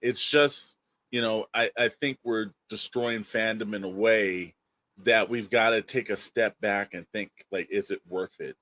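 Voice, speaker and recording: A man's voice: fairly low pitch, fairly even intonation, a little slow, sharp tone. An American man co-hosting a podcast in casual, rambling talk peppered with verbal tags like "you know". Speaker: podcast co-host.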